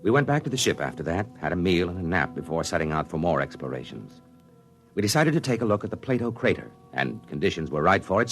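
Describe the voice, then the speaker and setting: An actor playing a thoughtful male astronaut who narrates his moon landing in a 1950s radio drama, earnest and halting. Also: low-pitched. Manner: earnest, reflective, halting with small stammers. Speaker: narrator and crewman.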